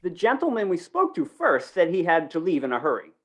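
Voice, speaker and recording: A man's voice: high voice, huge pitch swings, fairly quick, full character voice. A man playing a meek, hesitant, apologetic father and small shopkeeper in an old-time radio comedy, polite and flustered.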